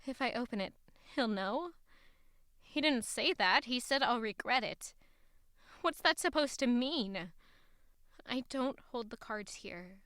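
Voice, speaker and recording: A woman's voice: a little high, expressive, measured pace, long pauses, bright, forceful tone, heavily acted. An actress playing an anxious, guarded young woman with a secret in an audio drama, sounding hesitant. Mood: uneasy, reflective. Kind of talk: audio drama dialogue and inner narration.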